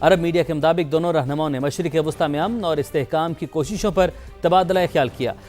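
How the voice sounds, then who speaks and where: A man's voice: a little high, fast, a little mellow. A man with a Pakistani accent anchoring a TV bulletin, reading scripted news with emphatic headline delivery. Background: news music bed.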